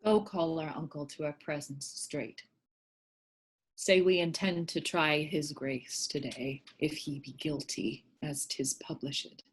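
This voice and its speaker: A woman playing a fragile, pious medieval king in classical verse, with a husky voice. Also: deep voice, steady tone, slow pace, full character voice. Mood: uneasy. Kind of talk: classical verse stage acting. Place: remote staged reading over video call.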